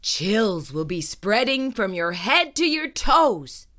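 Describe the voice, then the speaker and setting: A woman's voice: medium pitch, very animated, slow, fairly bright tone. A woman reading a children's story aloud in a suspenseful, theatrical and emphatic way. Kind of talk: dramatic read-aloud narration.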